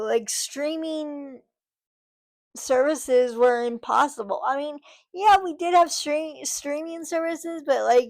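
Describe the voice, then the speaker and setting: A woman in her thirties talking casually to her camera, rambling with lots of fillers and restarts. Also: high-pitched. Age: 30s. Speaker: vlogger.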